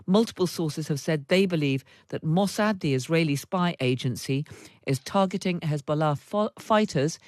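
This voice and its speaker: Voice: mid-range voice, normal speed, dry recording. A news reader delivering scripted narration of a report.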